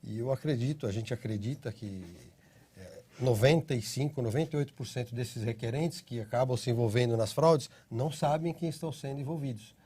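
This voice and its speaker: A middle-aged Brazilian man answering interview questions at length, patiently laying out a process point by point. His voice is mellow and soft-edged, with a slightly husky grain, and he keeps checking in with short tag questions. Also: mid-range voice, fairly quick. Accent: Brazilian Portuguese. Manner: steady explaining, frequent tag questions. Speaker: interview guest, citizenship consultancy CEO.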